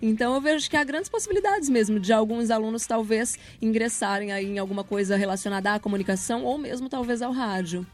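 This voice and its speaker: A woman's voice: on the high side, fast talker, hardly any pauses. A woman with a Brazilian accent talking casually as an interview guest.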